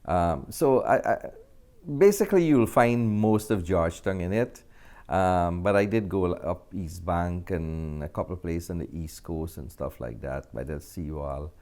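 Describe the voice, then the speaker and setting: A man's voice: low voice, animated, moderate pace, darkish tone. A middle-aged man with a Guyanese accent, answering conversationally as an actor and film director being interviewed.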